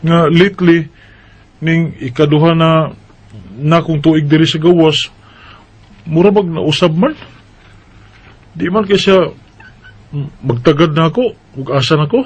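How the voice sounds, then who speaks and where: An actor playing a heartbroken young overseas worker in a radio drama, earnest and emotive, with a halting delivery. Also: male voice, fairly high pitch. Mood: anxious, puzzled. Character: heartbroken overseas worker, a young man searching for his girlfriend. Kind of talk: radio drama acting and narration.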